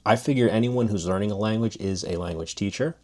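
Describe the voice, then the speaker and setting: A man's voice: lowish voice, quick pace, darkish tone. A man giving a loose, casual spoken language lesson, often restarting his sentences. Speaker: language teacher.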